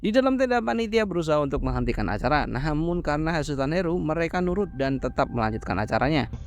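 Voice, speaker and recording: A man narrating a horror film recap in a casual, brisk way, dropping slangy joking asides between plot beats. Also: on the high side, very animated, no room sound.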